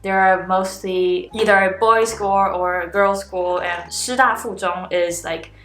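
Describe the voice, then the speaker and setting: A young woman from Taiwan, a native Mandarin speaker, talks to her camera in a loose, thoughtful way, often restarting her sentences and hedging with fillers. Her voice sits at an ordinary middle pitch. The room gives it a noticeable echo, and soft music plays faintly underneath. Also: fairly even intonation, measured pace. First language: Mandarin Chinese. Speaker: graduate student vlogger. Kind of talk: casual talk to camera.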